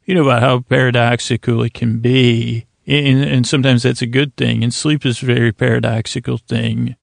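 A man with an American accent and a crackly, fry-laden voice talks listeners toward sleep. His delivery stays fairly even as he meanders, mumbles and halts through soothing tangents. The sound is close and very dry, like a podcast mic. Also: medium pitch, normal speed, no echo. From Syracuse, New York, United States. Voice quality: creaky, gravelly. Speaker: host of a bedtime sleep podcast. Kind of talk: rambling, soothing bedtime monologue.

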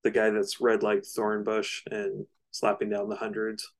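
A man co-hosting a casual discussion of music, speaking in hesitant, halting phrases. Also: fairly low pitch, completely flat delivery, crowd noise. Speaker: music discussion podcast co-host.

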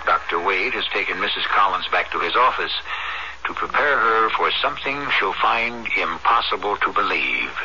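A man hosting a radio mystery, narrating the story in a measured, ominous and deliberate manner. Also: low voice, animated, pushed and bright, slight background noise. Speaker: host and announcer. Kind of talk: dramatic scripted radio narration. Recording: dull old radio broadcast with music.

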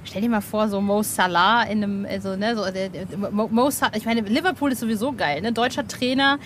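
A woman's voice: medium pitch, brisk, fairly bright tone. Casual woman interviewer, noisy background. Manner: informal, slangy. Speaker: podcast host and interviewer.

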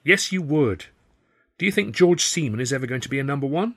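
A man's voice: mid-range voice, expressive, fairly quick, voice pushed up. A man voicing a young woman performer in an audiobook, chatty and earnest, with a firm, guarded way of talking.

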